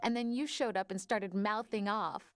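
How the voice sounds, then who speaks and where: A woman's voice: mid-range voice, fairly even intonation, normal speed, lightly acted. An actress playing an affectionate young woman fondly recalling a first date. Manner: soft, fond, reminiscing.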